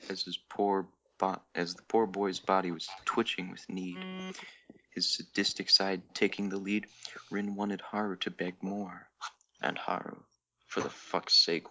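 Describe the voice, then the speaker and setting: A low-voiced man reads fanfiction as a comic dramatic narration for a hobby podcast. His tone is bright and forceful with plenty of fry, and he trips over words now and then. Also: slight background noise, poor audio. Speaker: podcast member reading fan fiction.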